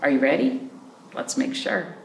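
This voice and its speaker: A woman hosting a library storytime, reading picture books and chatting to young children in an animated way with simple questions. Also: fairly low pitch, a little slow. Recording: roomy, distant mic with background noise.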